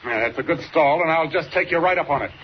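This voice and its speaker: Casting: male actor for gruff, mocking cop boss in a vintage radio adventure, slangy bark, dull noisy sound. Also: on the high side, quick pace, natural voice. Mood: sarcastic. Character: gruff, sarcastic male police captain. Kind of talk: 1940s radio acting. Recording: muffled, noisy 1940s radio recording.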